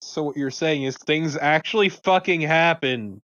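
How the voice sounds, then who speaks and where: A man's voice: a little high, a little slow, a bit bright, dull audio. A man doing casual, joking co-commentary on a video game, wry and sweary. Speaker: let's play co-commentator.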